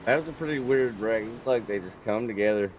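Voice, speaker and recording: A man's voice: fairly low pitch, quick pace, background noise. A man doing color commentary on a sim race broadcast, talking in a folksy, teasing, joking way. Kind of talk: casual race broadcast banter.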